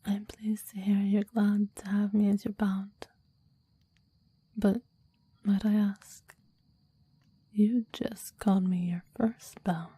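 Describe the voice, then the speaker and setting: Woman as a softly whispering, possessive demon. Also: mid-range voice, level tone, slow pace, long pauses, dry recording. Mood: wary, possessive. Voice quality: breathy whisper, soft. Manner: formal, archaic, reverent phrasing. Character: clingy, possessive, deadly demon devoted to a master. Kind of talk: ASMR roleplay, mostly whispered.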